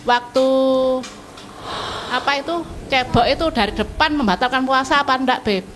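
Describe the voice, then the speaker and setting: A woman with a rather high voice asks a question in short, casual phrases. She sounds far from the microphone, with clear noise in the recording. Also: normal speed, fairly bright tone. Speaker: audience member asking a question. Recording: distant voice with clear background noise.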